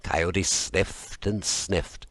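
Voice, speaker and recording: Deep-voiced man narrating a fairy tale. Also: no echo. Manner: expressive, deliberate storytelling. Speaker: audiobook narrator.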